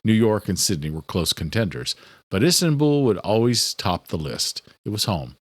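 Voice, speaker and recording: A man's voice: on the low side, big pitch swings, moderate pace, no room sound. A man reading a horror thriller audiobook as scripted narration.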